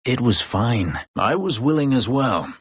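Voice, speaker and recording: An actor playing a brooding, bitter escaped-slave elf warrior in a fantasy video game, terse, guarded and drily sardonic, with a gravelly voice. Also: male voice, expressive, a little slow, no room sound, studio quality. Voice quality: gravelly, husky low voice. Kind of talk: dramatic fantasy video game character dialogue.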